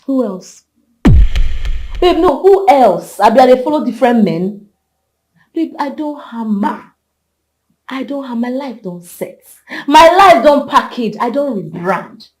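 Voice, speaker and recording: A woman's voice: high voice, lively intonation, unhurried, long pauses, darkish tone. An actress playing a brash, haughty young Nigerian woman in a film drama, confrontational and slipping into Pidgin. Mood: gloating, boastful. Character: brash, gloating young woman pregnant by a king.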